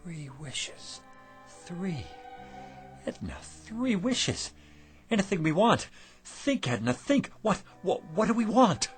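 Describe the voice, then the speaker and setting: Weary male shopkeeper, skeptical, hungry, emphatic, lively intonation, radio drama. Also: high-pitched, takes it slowly, soft background music, full character voice. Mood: excited, greedy. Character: struggling, world-weary shopkeeper husband, skeptical then hungrily eager.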